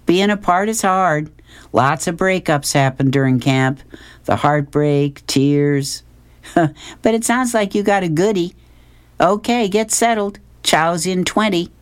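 Someone putting on a friendly camp counselor from a comic, high voice, takes it slow, sweet and chatty. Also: clean tone, dry recording, natural voice. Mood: chirpy, sympathetic.

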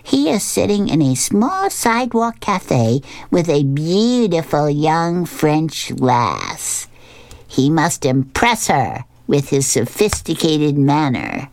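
A woman's voice: low voice, sing-song, slow, darkish tone, no echo, voice pushed up, heavily acted. A woman voicing a pompous cartoon dog who grandly narrates himself as a suave flying ace.

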